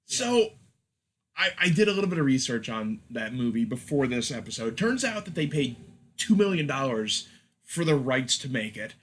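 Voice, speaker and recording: A man's voice: medium pitch, very animated, normal speed, a bit bright, slight background noise. A man hosting a casual film chat, with a wry, joking manner. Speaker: podcast host.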